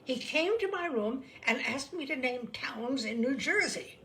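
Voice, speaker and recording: A woman's voice: high-pitched, lively intonation, unhurried, bright, forceful tone, slight background noise, voice pushed up, heavily acted. A woman voicing an anxious, exclaiming father who is alarmed by his son in a humorous story. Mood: indignant. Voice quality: shaky.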